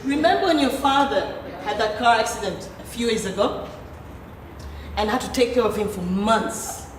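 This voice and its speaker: A woman playing an imperious, scheming middle-aged wife and mother in a stage play, theatrical and deliberate. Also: a little high, natural voice. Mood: mocking, calculating. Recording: distant, echoey, noisy theatre recording.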